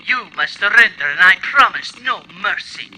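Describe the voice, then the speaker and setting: A woman's voice: medium pitch, expressive, normal speed, bright, forceful tone, a little hiss or hum, rough recording. A woman playing a menacing, gloating villain mastermind in old-time radio serial style, theatrical and imperious. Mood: threatening.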